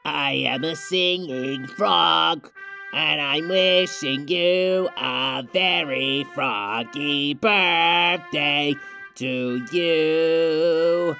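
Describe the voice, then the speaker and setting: A man playing an odd singing-telegram frog with a creaky, gravelly voice in a comedic radio drama. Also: high-pitched, sharp tone, full character voice.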